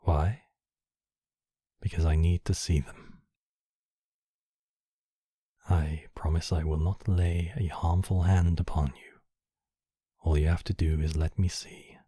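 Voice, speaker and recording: A man voicing a courtly, possessive demon king, menacing yet tenderly protective, in slow, intimate ASMR roleplay with formal, measured phrasing. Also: low voice, level tone, mellow, slightly rough, dry recording, clean studio sound, heavily acted. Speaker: voice actor in a roleplay. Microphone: close microphone, dry.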